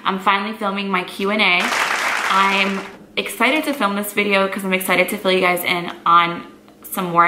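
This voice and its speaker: A woman's voice: fairly low pitch, sharp tone. A woman in her twenties from Brazil, a native Portuguese speaker, chatting easily to camera in a Q&A and using lots of "like.". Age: 20s. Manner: chatty, easygoing, peppered with "like". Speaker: lifestyle vlogger. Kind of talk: casual Q&A chat to camera. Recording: echoey room, faint background noise.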